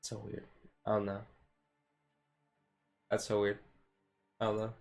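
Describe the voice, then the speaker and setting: Low-key, even-toned man chatting on stream. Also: measured pace, gravelly, faint music behind. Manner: rambling, self-deprecating. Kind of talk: casual live-stream chat while sorting cards.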